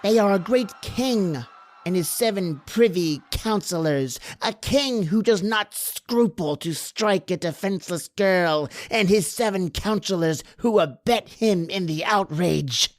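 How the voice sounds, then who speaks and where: Shrill, maniacal dwarf jester. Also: male voice, animated, no echo. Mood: vengeful triumph. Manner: drawn-out, theatrical declaiming. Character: vengeful dwarf court jester, maniacal and gleeful. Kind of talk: dramatic storytelling in old horror radio manner.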